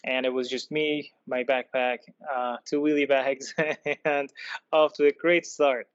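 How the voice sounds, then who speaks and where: A man from Iran telling his own story as a podcast guest, in a halting, thoughtful way. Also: mid-range voice, level tone, clear, resonant tone, no room sound. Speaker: podcast guest, product designer. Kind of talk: personal storytelling in an interview. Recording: dull lo-fi close dry sound.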